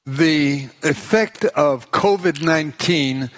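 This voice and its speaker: Male preacher, measured and unhurried, slightly high voice. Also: rough recording. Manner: slow, deliberate, pausing for interpreter. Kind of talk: sermon with live interpretation.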